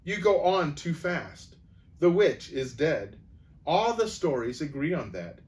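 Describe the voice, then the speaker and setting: A man's voice: on the high side, big pitch swings, slow pace, darkish tone, pitched above the performer's own voice. A man voicing an anxious, learned old doctor who protests urgently in a storybook reading.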